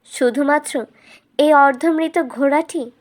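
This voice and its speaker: A woman's voice: sits high, a little slow, pitched above the performer's own voice. A woman voicing a clever, composed young fairytale princess, polite and persuasive. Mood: sweetly persuasive.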